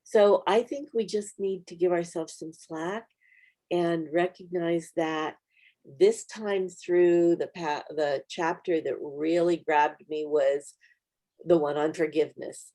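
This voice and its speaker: A woman's voice: fairly low pitch, steady tone, slow, mellow. A woman hosting a Bible study video, talking conversationally in a reflective, encouraging way. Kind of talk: conversational small-group teaching.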